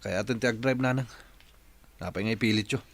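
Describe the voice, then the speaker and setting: A man's voice: fairly low pitch, fast talker, lightly acted. Man acting a heartbroken young Filipino jeepney driver. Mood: groggy, reluctant. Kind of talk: radio drama acting.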